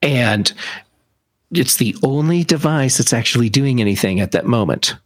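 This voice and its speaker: A man chatting casually as a tech podcast co-host, explaining things deliberately with pauses. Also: medium pitch, animated, no room sound, studio quality.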